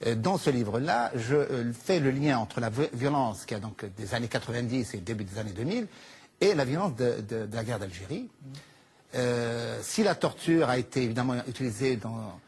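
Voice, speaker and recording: Husky man, earnest and hesitant. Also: medium pitch, lively intonation, moderate pace, a little mellow. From Algeria. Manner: earnest, hesitant starts with repeated words. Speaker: author guest. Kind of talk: studio interview.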